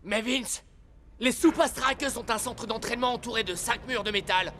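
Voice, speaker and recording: A man's voice: very high voice, normal speed, sharp tone, slight background noise, heavily acted. A man playing a cocky but cowardly football henchman in a dubbed cartoon, whiny and protesting. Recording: music behind the voice.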